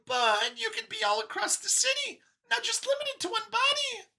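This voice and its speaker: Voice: very high-pitched, normal speed, creaky, pushed and bright, pitched above the performer's own voice, exaggerated voice. A narrator voicing a small female alien mouse scientist in an audiobook, chirpy, slightly hesitant and chatty as she explains. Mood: explaining, upbeat.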